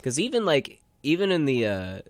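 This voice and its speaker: A man's voice: on the high side, wildly swooping pitch, moderate pace, dry recording. A man co-hosting a casual chat show, talking in a loose, rambling, slangy way. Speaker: podcast co-host.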